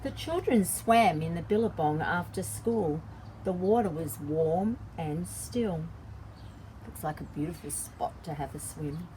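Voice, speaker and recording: Storytime educator, female. Unhurried, lowish pitch, stretched key words, noticeable background rumble. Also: lively intonation, mellow, poor audio. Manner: simple explanatory asides, drawn-out emphasis on key words. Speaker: children's story time host and early childhood educator. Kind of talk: read-aloud picture book storytelling for young children.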